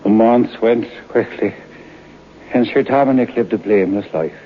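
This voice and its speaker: An actor playing a knowing folk-tale storyteller, narrating an old-time radio drama in an unhurried way. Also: male voice, fairly low pitch, natural voice. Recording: muffled, hissy old radio broadcast.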